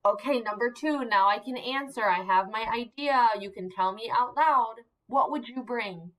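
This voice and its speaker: A woman teacher reading aloud to young children, expressive and encouraging, using simple emphasized words and pausing to ask questions. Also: a little high, low-quality recording. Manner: encouraging, asks questions and pauses for answers. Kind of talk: interactive read-aloud lesson for young children.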